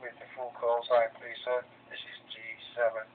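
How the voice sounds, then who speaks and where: A man making amateur radio contacts, haltingly spelling out call signs over a radio link. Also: mid-range voice, completely flat delivery. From England. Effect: radio transmission. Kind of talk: ham radio contact exchanges. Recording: tinny band-limited radio, clear hum and noise.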